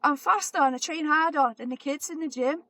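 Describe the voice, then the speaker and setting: A woman in her forties, a boxer talking as a guest in a relaxed, chatty way and often repeating herself. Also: high-pitched, a little fast, dry recording. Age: 40s. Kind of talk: casual interview chat.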